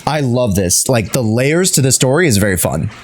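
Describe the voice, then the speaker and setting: Game streamer, young man: casual live commentary, jokey, very lively delivery, mid-range voice. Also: medium pitch, moderate pace. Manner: playful, jokey, chatty.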